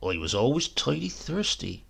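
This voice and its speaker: A man's voice: mid-range voice, big pitch swings, moderate pace, a bit bright, full character voice. A man voicing a feisty, very old rustic great-uncle, in a storybook reading. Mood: roguish relish. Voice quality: husky, whiny breath.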